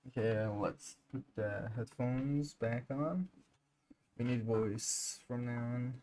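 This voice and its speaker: A man's voice: medium pitch, slow pace, darkish tone, slight background noise. A man streaming a game, muttering casual commentary to himself in short phrases. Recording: game music behind voice.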